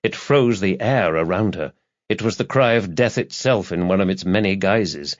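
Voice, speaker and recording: A man's voice: sits low, expressive, normal speed, dry recording. A man narrating a crime thriller audiobook in a scripted, dramatic storytelling style. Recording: slightly dull, lo-fi audio.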